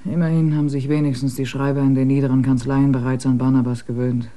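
A man's voice: medium pitch, little pitch movement, fast talker, mellow, natural voice. A man playing a devoted poor villager. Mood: earnest. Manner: earnest, explaining, hopeful. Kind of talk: German radio drama acting.

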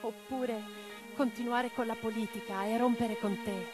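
An actress playing a young, passionate and romantic socialist woman in a radio drama, speaking in an expressive, earnest, lyrical way. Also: a little high, unhurried, music in the background, lightly acted. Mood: grave, loving. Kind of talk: theatrical radio drama monologue and dialogue.

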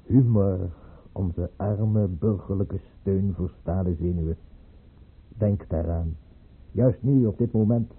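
A husky, sardonic scheming baron. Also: male voice, low voice, takes it slowly, narrow, tinny audio, full character voice. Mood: wry, conspiratorial. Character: sardonic aristocratic baron, conspiring Russian courtier. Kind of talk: Dutch radio play acting. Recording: band-limited old radio play recording.

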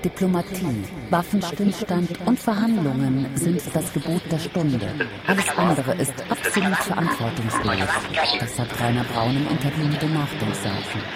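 A man's voice: fairly high pitch, animated, normal speed, hardly any pauses. A man reading scripted news commentary as a narrator. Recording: loud music under the voice.

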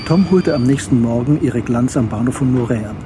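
A man narrating a German radio crime drama in a measured, matter-of-fact way. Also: medium pitch, noisy background. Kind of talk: scripted audio drama narration.

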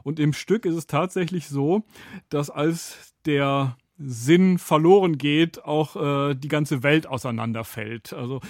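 Male radio critic, fairly high voice, close dry sound, thoughtful academic explanations with searching phrasing. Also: measured pace, darkish tone, dry recording. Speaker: radio drama critic, studio guest. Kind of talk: explanatory discussion.

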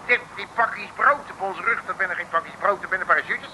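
An actor playing a cheeky, grumbling working-class Dutch detective in an old comic radio serial, blustering in slang. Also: male voice, sits high, a little fast, natural voice. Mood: alarmed, indignant. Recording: old radio recording with faint noise.

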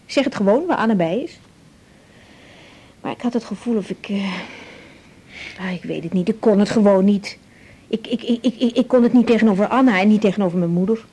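Young mom in an 80s radio play, soft mellow voice, lively, keeps stumbling over words. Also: female voice, medium pitch, moderate pace, long pauses, breathy edge. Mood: guilty, unsettled. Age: young adult. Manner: hesitant, repeats words. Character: young adoptive mother, tender, hesitant, guilt-ridden. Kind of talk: 1980s radio drama acting.

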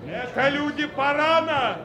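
An actor playing a frantic, agitated man shouting from a street crowd in an audio drama. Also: very high-pitched, unhurried, soft background music, full character voice. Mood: alarmed, angry.

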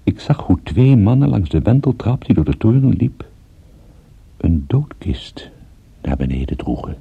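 An actor narrating a radio drama in the first person as a world-weary private detective, measured and dry, on a dull old recording. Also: male voice, lowish voice, sing-song, gravelly, heavily acted. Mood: dry, ominous.

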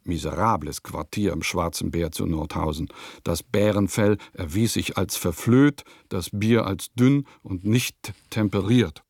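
Low-voiced eccentric composer, dry studio sound. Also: male voice, a little slow, vocal fry, a little mellow, no echo, exaggerated voice. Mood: dry, reflective. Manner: terse, literary, old-fashioned diction. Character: eccentric male composer reading his diary aloud. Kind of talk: German radio play diary monologue.

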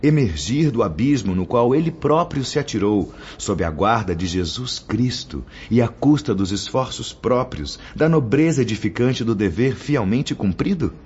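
A man's voice: medium pitch, expressive, normal speed, few pauses, darkish tone, slight background noise, lightly acted. A man acting a stern spirit mentor in a radio drama, admonishing with solemn, oratorical declamation and rhetorical questions. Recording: dull lo-fi audio with music behind. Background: background music.

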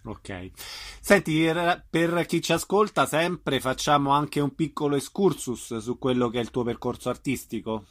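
A man's voice: on the high side, a little slow. A man working as a radio interviewer, putting informal questions to a guest.

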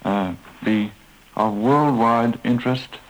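A man answering interview questions as an expert, speaking carefully and pausing on fillers. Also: mid-range voice, low-quality recording. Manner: slow, careful, halting with fillers. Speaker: museum expert being interviewed. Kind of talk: archival radio interview.

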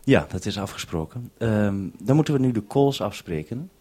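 Low, mellow man on radio. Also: expressive, moderate pace, breathy edge. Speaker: radio presenter. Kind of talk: two-way radio conversation.

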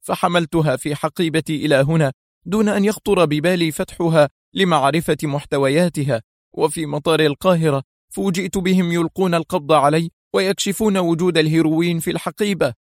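A man acting a distraught, high-pitched accused doctor. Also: quick pace, dry recording, lightly acted. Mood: agitated. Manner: agitated, close to tears. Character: distraught, trembling male surgeon, wrongly accused brother.

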